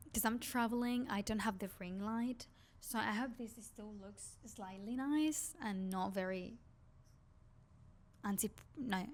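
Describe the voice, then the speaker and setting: A woman with a Spanish accent, a native Spanish speaker from Spain, vlogging in a rambling, confiding chat to camera. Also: fairly high pitch. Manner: rambling, confiding, heavy use of "like".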